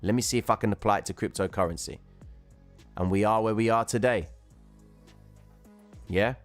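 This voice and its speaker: A man's voice: fairly low pitch, moderate pace, faint music behind. A man hosting a livestream, casually explaining chart trading and chatting with viewers who comment. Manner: chatty, reads viewer chat, calls viewers guys and bro.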